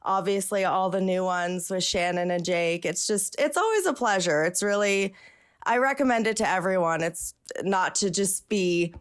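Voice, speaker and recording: A woman from West Virginia calling in to a podcast late at night, talked out, with vocal fry, chatting enthusiastically and speeding up when excited. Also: on the low side, normal speed. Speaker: caller and guest. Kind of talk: casual call-in podcast chat. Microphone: video call.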